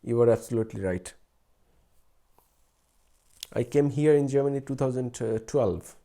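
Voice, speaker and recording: A man's voice: medium pitch, moderate pace, mellow. A young man from Bangladesh, a native Bengali speaker with a Bangladeshi accent, chatting casually on a live stream and rambling with fillers and self-corrections. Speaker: researcher doing a live stream.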